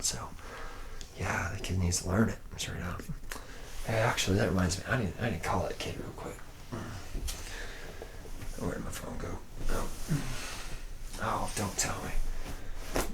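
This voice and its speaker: A man's voice: sits low, slight background noise. A man playing a laid-back, boozy womanizing factory worker in an ASMR roleplay, talking in a casual, slangy ramble. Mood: rambling, laid-back, then mildly grumbling.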